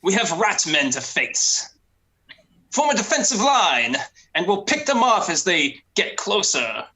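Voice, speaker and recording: A man's voice: sits high, sing-song, bright, forceful tone, voice pushed up, exaggerated voice, rough recording. Man playing a steely, commanding pistol-wielding officer. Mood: resolute. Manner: firm, commanding, deliberate.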